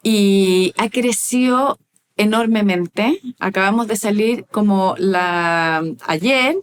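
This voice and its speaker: A woman talks as an interview guest. Her pitch sits mid-range. She speaks in a thoughtful, halting way, filling gaps with soft hesitations and restarting her sentences. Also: clear, resonant tone. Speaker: neuroscience researcher guest. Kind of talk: casual interview conversation.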